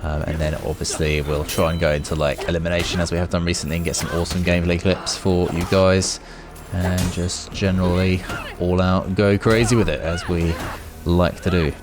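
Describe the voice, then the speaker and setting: A man's voice: low voice, flat delivery, brisk, hardly any pauses. A man hosting a gaming video, talking in a casual, chatty, rambling way as he gives his commentary. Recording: noisy with clear background noise.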